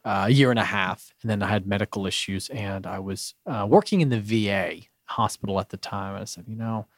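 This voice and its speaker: Male guest, low voice, earnest and halting, dry studio mic. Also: no echo. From Bulgaria. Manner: earnest, halting, with many restarts and fillers. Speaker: radio show guest, business owner. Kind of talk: casual radio interview. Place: radio studio.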